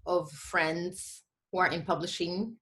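A woman's voice: on the low side, fairly even intonation, slow, a bit bright. A woman from Ethiopia chatting as an interview guest, hesitant and self-deprecating, often restarting her thoughts. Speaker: podcast guest, literary editor and prize judge. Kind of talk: casual interview chat.

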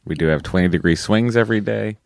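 Deep-voiced joking man podcast co-host. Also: quick pace, creaky, no echo, studio quality. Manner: jokey, deadpan, rambling. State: sore mouth, hurts to talk. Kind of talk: casual chat.

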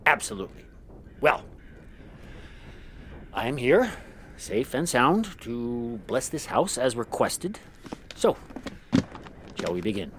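Troubled, animated Southern priest. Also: male voice, medium pitch, slow, long pauses, a little hiss or hum. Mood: uneasy, stammering. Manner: hesitant, stammering, solemn. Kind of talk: old-time radio drama acting.

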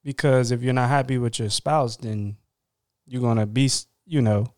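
Smooth-voiced man asking friendly questions. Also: mid-range voice, a little mellow, dry recording, clean studio sound. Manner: supportive interviewer, quick asides and filler words. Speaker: podcast co-host. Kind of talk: casual podcast chat.

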